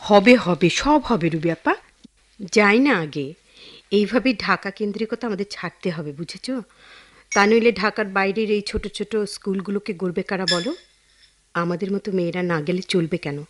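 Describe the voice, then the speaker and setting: A spirited young Bangladeshi woman as acted by a woman in a radio play. Her mid-range voice is expressive and idealistic. She speaks with earnest resolve. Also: medium pitch, fairly quick, lightly acted. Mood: earnest, assured. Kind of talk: Bengali radio drama acting.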